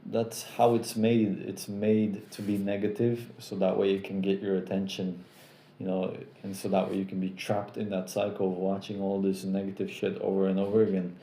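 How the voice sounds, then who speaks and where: Relaxed American-accented man, dark roomy voice. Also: sits low, moderate pace, slight background noise. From Poland. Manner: relaxed, rambling, repeats himself. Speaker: live stream host. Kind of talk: casual live chat reading viewer questions.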